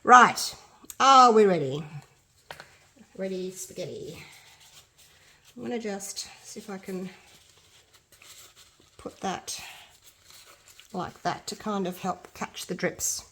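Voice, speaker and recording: A woman's voice: medium pitch, animated, takes it slowly, pauses often, bright, forceful tone. Aussie lady, stuffy blocked nose, chatty and a bit self-deprecating while she works. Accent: Australian. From Australia. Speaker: resin art tutor. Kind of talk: casual craft tutorial.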